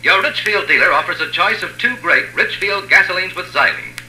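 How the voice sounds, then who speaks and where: A male radio announcer delivers an emphatic, polished mid-century sales pitch. His voice is high and very bright, on an echoey old broadcast recording. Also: fairly quick. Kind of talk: 1950s radio commercial announcing.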